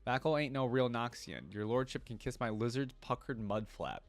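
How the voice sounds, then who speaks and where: A man acts out a cantankerous old yordle rider from a fantasy game story. He blusters in folksy, broken grammar and guards his land fiercely. The pitch sits in a medium range, recorded dry and close. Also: lively intonation, normal speed, no room sound, lightly acted. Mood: insulting. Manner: folksy, ungrammatical, blustering trash talk. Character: cantankerous, reckless little old yordle cavalryman, fiercely territorial.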